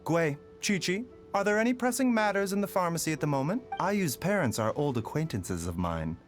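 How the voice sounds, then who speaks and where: An actor voicing a courteous, gentle young doctor in a video game, speaking in a measured way. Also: male voice, fairly high pitch, sing-song. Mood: calm. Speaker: pharmacy doctor. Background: soft game music.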